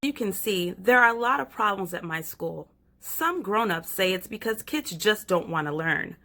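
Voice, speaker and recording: A woman with a slightly worn voice reading aloud as a chatty young girl who has shrunk, in a lively storybook style. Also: medium pitch, normal speed. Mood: storytelling. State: losing her voice.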